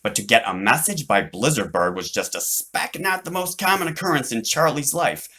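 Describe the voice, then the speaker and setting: A man reading his own children's fantasy story aloud in an animated, dramatic way, drawing out words for emphasis. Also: medium pitch, normal speed, slightly rough.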